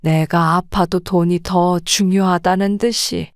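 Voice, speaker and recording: A woman acting an older widowed grandmother who speaks softly, weary and restrained. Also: fairly low pitch, steady tone, unhurried, no room sound, clean studio sound. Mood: troubled. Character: widowed grandmother, gentle and troubled. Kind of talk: audio drama acting.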